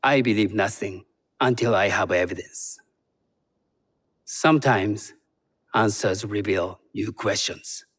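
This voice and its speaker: An actor playing a composed, shrewd Japanese tea master in a video game, speaking in a measured, formal, guarded way. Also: male voice, lowish voice, fairly bright tone, breathy edge, full character voice. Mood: stern, guarded. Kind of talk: video game cutscene acting.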